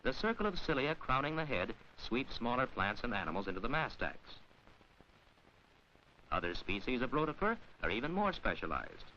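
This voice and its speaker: A man narrates an old educational science film in a bright, forceful voice with lively, swinging intonation. His delivery is formal, measured and carefully enunciated, reading from a script. The recording is a dull vintage film soundtrack with faint hiss. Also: mid-range voice.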